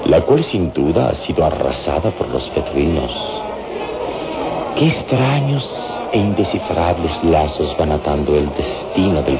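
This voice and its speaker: An actor playing a wise, heroic adventurer who thinks aloud gravely and with measure in a radio drama. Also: male voice, rumbling low. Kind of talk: Mexican radio drama monologue. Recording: dull radio recording under loud music.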